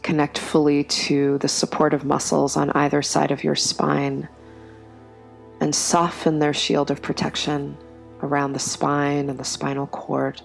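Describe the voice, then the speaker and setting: A woman guiding a yoga nidra meditation in a slow, soft, soothing way, with long pauses between phrases. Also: low-pitched, monotone, gravelly, faint music behind. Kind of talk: guided meditation.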